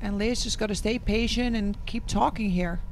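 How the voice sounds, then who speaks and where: A woman's voice: mid-range voice, moderate pace, a little hiss or hum. A woman with a Dutch accent commentating on tennis, hesitant and full of ums. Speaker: guest commentator. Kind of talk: sports commentary.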